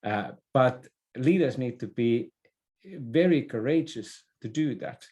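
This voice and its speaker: Male interview guest, earnest and reflective. Mellow tone, unhurried pace, halting phrasing. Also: mid-range voice, lively intonation. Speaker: podcast guest, business expert. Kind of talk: conversational interview, explaining ideas.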